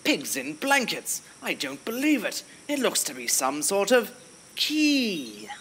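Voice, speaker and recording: A man acting a bright, excitable bumbling adventurer. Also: sits high, slow pace, a little hiss or hum, exaggerated voice. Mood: excited. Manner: theatrical, old-fashioned quaint exclamations. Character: bumbling excitable adventurer hero, thinking aloud. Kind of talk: audio adventure story acting.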